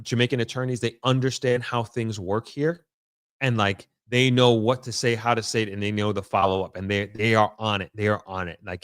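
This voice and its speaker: A tired man hosting a casual livestream, thinking aloud in an informal, halting way as he answers comments. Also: medium pitch, no echo, studio quality. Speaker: livestream host. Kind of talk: casual livestream chat, reading out viewer comments.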